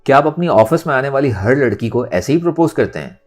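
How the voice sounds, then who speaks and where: A man voicing a defiant, sharp-tongued young woman in a storybook, with taunting emphasis. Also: mid-range voice, animated, brisk, pitched above the performer's own voice, lightly acted. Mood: earnest, exasperated. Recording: music under the voice.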